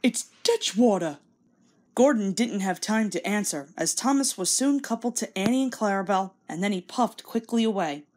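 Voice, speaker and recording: A woman's voice: mid-range voice, expressive, normal speed, hardly any pauses, a little mellow. A woman reading a children's story aloud as scripted narration. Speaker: storybook reader.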